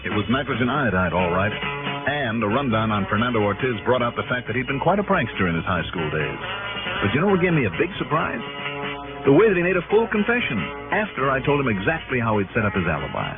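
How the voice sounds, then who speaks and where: An actor playing a shrewd, dogged insurance investigator in an old radio detective drama, probing and thinking aloud with emphasis. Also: male voice, medium pitch, big pitch swings, fast, narrow, tinny audio, over music. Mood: wry, wrapping up. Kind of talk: 1950s-60s radio detective drama acting. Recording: band-limited old radio recording.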